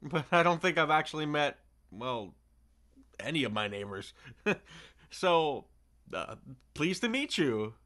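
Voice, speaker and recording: A man's voice: on the high side, big pitch swings, measured pace, pauses often, pushed and bright, full character voice. A man playing a friendly, awkward delivery driver in an audio roleplay, chatty and sheepish, rambling in a casual way. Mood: awkwardly friendly.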